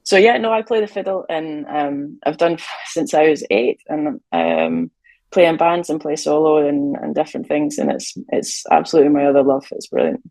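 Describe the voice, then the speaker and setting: A young Shetland woman sailor chatting about music in a relaxed interview on a video call from a hotel room, with plenty of ums and easy laughs. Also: deep voice, normal speed, dry recording, low-quality recording. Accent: Shetland Scottish. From Shetland, Scotland. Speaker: sailor and fiddler, podcast guest. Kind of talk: casual interview chat.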